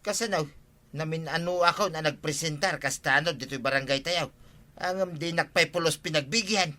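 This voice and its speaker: An aggrieved villager in a radio comedy drama, with an Ilocano accent. The voice is strikingly sharp and a little high for a man, insistent and full of complaint. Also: moderate pace.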